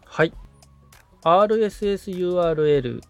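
A man's voice: sits high, lively intonation, normal speed, darkish tone, smooth, no echo, soft background music. A man assisting on a podcast as a learner, attentively following along with polite, agreeable replies. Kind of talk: polite conversational replies while following instructions.

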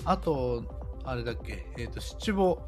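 A man's voice: medium pitch, expressive, a little slow. A man with a Kansai accent, chatting casually as a podcast co-host. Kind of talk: casual chat. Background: background music.

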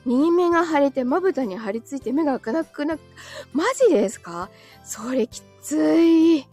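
A woman's voice: high voice, lively intonation, moderate pace, mellow, soft background music. A woman live streamer chatting casually and answering comments, giggly, tired and a little short of breath after being ill. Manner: giggly, often breaking into small laughs.

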